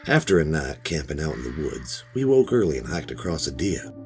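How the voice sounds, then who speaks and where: A man's voice: rumbling low, big pitch swings, a little fast. A man playing a middle-aged hobbyist who tells a first-person horror story in a folksy, rambling, conversational way. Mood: hushed, uneasy. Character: laid-back middle-aged male metal detector hobbyist recounting a strange find. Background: music.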